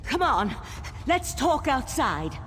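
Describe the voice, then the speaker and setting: A woman voicing an earnest female elf mage of an ancient monastic order, explaining calmly. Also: sits high, slow, big-room sound, a little hiss or hum, full character voice.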